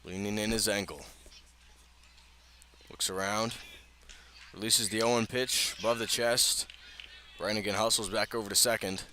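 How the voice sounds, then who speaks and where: A man's voice: lowish voice, fairly even intonation, moderate pace, long pauses, pushed and bright. A man with an American accent calling live baseball play-by-play on the radio. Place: outdoors at a ballpark. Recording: outdoor ballpark ambience, clear background noise.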